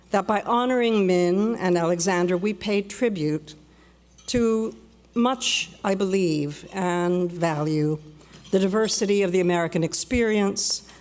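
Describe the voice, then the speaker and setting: A woman born in Peru giving a measured, reflective prepared speech at a luncheon, slowing down for emphasis. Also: on the low side, darkish tone. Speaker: guest speaker. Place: banquet hall. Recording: long reverb of a large hall.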